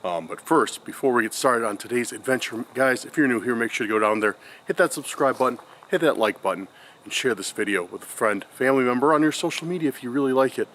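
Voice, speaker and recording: A man's voice: medium pitch, lively intonation, fast, fairly bright tone, a little hiss or hum. Congested man vlogging on a forest trail. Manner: rambling, chatty, many fillers and restarts. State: congested from a cold. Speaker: paranormal vlogger. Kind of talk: casual vlog talk to camera.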